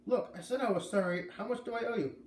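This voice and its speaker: A man's voice: high-pitched, fast talker, a little mellow, voice pushed up, natural voice. A man reading the part of an exasperated, plain-spoken, folksy construction foreman in a storybook. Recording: echoey room, faint background noise.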